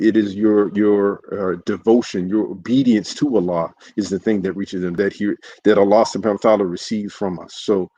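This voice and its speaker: Man, imam, livestream sermon, earnest, halting, fillers and restarts, lowish voice, muffled lo-fi sound. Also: a little mellow, slightly rough. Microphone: solo livestream setup.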